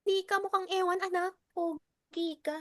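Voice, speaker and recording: A woman's voice: very high voice, fairly even intonation, moderate pace, no echo, studio quality. A woman playing a protective, doting mother in a dramatic reading, reassuring and cautioning. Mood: doting, worried. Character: protective, doting mother of a college-age son. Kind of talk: dramatic stage reading in Tagalog.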